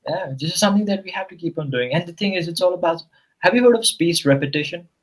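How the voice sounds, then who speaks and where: A man's voice: sits high, fast. Earnest male English tutor, Nepali native. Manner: earnest, explanatory, with frequent "you know" and "right?". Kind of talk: casual conversational interview.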